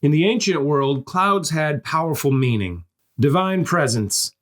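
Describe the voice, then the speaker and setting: A man's voice: fairly high pitch, animated, measured pace. A man narrating a scripted lesson in an explanatory, didactic way, posing questions and answering them with emphasis. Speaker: narrator of a Bible prophecy course.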